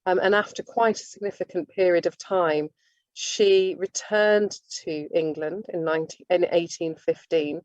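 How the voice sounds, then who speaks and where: A woman with a lowish voice lectures informally, stopping and restarting her sentences as she goes. The sound is dull and lo-fi, with a dry, close feel and no room around it. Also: a little mellow, no room sound. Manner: halting, with many restarts and self-corrections. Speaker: speaker giving a talk on nursing history.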